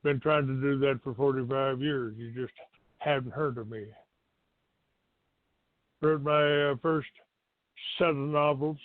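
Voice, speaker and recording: An older American man from Texas hosting a talk show, giving a rambling, halting monologue to his listeners. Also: medium pitch, steady tone. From Texas, United States. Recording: roomy, tinny phone-like line.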